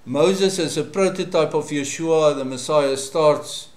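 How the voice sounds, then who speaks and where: A man with a South African accent and Afrikaans as his first language delivers a religious teaching. His voice sits a little high for a man, and he speaks slowly and didactically in short phrases. The sound is roomy, with a clear echo. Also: a little mellow. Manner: measured, didactic, pausing between short phrases. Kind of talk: Bible teaching sermon.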